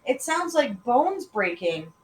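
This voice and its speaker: Woman putting on a high, whiny little boy. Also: sing-song, slow pace, exaggerated voice. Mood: complaining. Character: excitable young boy, whiny and alarmed. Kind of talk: children's storybook character reading. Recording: echoey room with faint noise.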